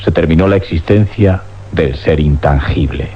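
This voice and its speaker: An actor playing a grave police sergeant, solemnly and formally reciting a report in old radio drama style. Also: male voice, extremely low pitch, normal speed, slight background noise. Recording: dull old radio recording, faint music.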